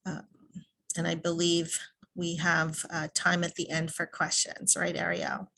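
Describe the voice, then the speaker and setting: A woman's voice: fairly low pitch, monotone, measured pace, vocal fry, pushed and bright, rough recording. A woman presenting in a webinar, explaining options to families with occasional fillers. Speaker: early childhood transition presenter. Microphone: video call.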